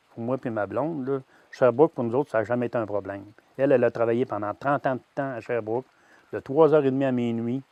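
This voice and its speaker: A man's voice: mid-range voice, a little mellow, no room sound, lo-fi sound. Hesitant Québécois farmer in his sixties. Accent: Québécois French. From Quebec. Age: 60s. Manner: hesitant, many "euh" fillers and restarts. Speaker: grain-fed veal farmer. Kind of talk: personal testimony.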